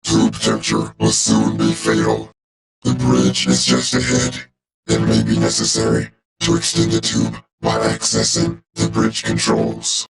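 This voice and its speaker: Deep gravelly evil robot soldier, vocoder effect, cold n clipped, game villain type. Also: male voice, a little slow, heavily acted, rough recording. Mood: cold, analytical. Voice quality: gravelly, droning robotic timbre. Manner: clipped, deadpan, formal reporting. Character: cold, calculating male robot war machine officer, loyal and menacing. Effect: robotic vocoder filter. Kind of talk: video game character voice lines.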